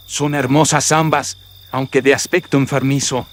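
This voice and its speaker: A man's voice: a little high, normal speed, a bit bright, slight background noise, voice pushed up. A man voicing a young, polite, timid servant in a theatrical horror radio drama, his voice filtered. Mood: polite, deferential. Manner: formal, deferential, theatrical. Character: young lovestruck male servant, polite and fearful. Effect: voice processed with audio filters.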